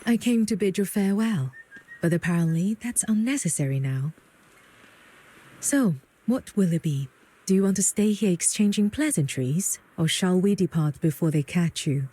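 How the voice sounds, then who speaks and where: A worldly, shrewd proprietress in a video game. Her voice is lowish, mellow and breathy, her manner poised and sage. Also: lively intonation, moderate pace. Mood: wry. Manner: poised, sage, gently teasing. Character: composed, worldly, shrewd adult female proprietress. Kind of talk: scripted video game acting.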